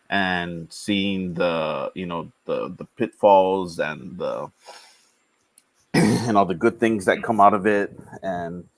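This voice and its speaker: A man in his thirties from Hawaii, co-hosting a casual podcast, hesitant with many pauses. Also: sits low, no room sound. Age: 30s. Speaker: podcast co-host.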